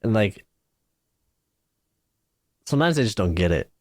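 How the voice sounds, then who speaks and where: A man's voice: on the low side, sing-song, a little slow, no echo, clean studio sound. A man in his twenties, a YouTuber chatting casually on a podcast, laid-back and saying "like", "dude" and "bro" often. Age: 20s.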